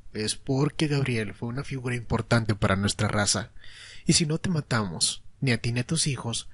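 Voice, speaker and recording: A man's voice: mid-range voice, breathy edge, no echo, lightly acted. A man voicing a sly male vampire enforcer in an audio drama, mockingly polite and taunting. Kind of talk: Spanish-language ASMR roleplay audio drama.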